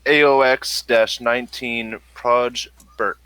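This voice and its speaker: A man running a tabletop game as game master, talking haltingly with many pauses and restarts. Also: mid-range voice, steady tone, pushed and bright, clean tone, no room sound.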